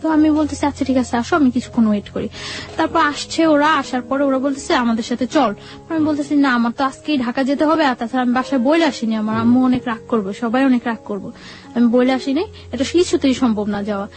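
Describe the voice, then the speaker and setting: A woman's voice: sits high, quick pace, darkish tone. A young woman from Bangladesh recounting her life step by step in an interview, often repeating herself. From Bangladesh, Barisal region. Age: young adult. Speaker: guest telling life story. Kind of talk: personal storytelling in an interview. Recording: music playing behind the voice. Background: background music.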